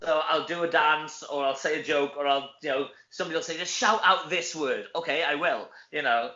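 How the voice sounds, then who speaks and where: A Welsh man with a fairly high, bright voice chats casually as an interview guest. He is self-deprecating and anecdotal, and the sound is dull and echoey. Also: brisk. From Wales. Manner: chatty, self-deprecating, often tags sentences with "you know". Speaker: actor and comedy performer, interview guest. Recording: roomy echo, dull lo-fi sound.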